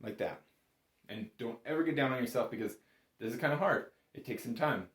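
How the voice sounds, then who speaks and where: A man's voice: medium pitch, brisk, a bit bright. A man giving an informal, step-by-step music lesson in a patient, encouraging manner. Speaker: bagpipe instructor. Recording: roomy, echoey indoor sound.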